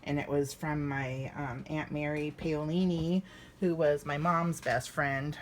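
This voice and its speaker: A woman's voice: low voice, fairly even intonation, a little slow, a little hiss or hum. An American woman in her fifties doing an informal children's read-aloud, with hesitant, rambling asides. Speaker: story reader for children.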